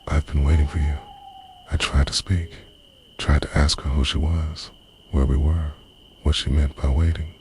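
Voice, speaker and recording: A man's voice: a bass voice, level tone, moderate pace, darkish tone, a little hiss or hum. A man playing a lovestruck, obsessive dream invader in an intimate ASMR monologue, confessional, brooding and half-whispered. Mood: wistful, devoted.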